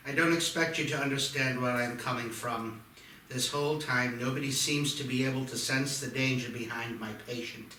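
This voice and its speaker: A grim, obsessed doctor in his fifties, read by a man at a table read, with a very bright, forceful voice. He presses his case earnestly. The sound is echoey, noisy and far from the mic. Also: medium pitch, lightly acted. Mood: grave, insistent.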